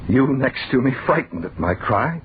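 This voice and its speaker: A man's voice: medium pitch, big pitch swings, moderate pace, natural voice. An actor playing a horrified man who tells of being flung into a deathless future, intense first-person acting in a noisy, muffled old-time radio horror play. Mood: subdued reflection. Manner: dramatic, intense.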